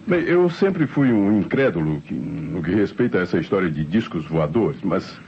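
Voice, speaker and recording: Low-voiced, defensive married man, animated delivery. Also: moderate pace, gravelly, full character voice. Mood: uneasy recounting. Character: defensive married man, neighbour who saw a flying saucer. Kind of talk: old radio drama acting. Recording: muffled, faintly noisy old radio recording.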